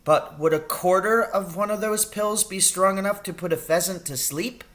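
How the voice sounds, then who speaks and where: A man's voice: high voice, normal speed, a bit bright, voice pushed up. A man voicing an eager, earnest young boy in a storybook, questioning and pleading with his father.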